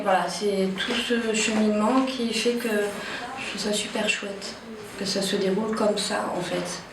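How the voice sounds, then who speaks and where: Hesitant woman, noisy roomy sound. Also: medium pitch. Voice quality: hesitant, many fillers. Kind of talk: casual interview talk. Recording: roomy distant mic, clear background noise.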